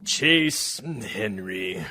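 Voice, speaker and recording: A man playing a brash small-town drunkard with a gravelly, forceful voice in a radio monologue. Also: on the low side, expressive, extremely slow, noisy background. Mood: brash, wry. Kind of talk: theatrical monologue for community radio play.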